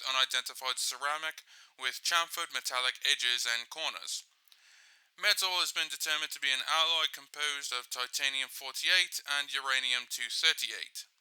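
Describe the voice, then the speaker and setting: Crisp, clinical man playing a research scientist. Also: mid-range voice, bright, forceful tone, no room sound, poor audio. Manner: detached, precise, formal. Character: clinical, methodical male research scientist logging findings. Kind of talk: scripted audio log dictation.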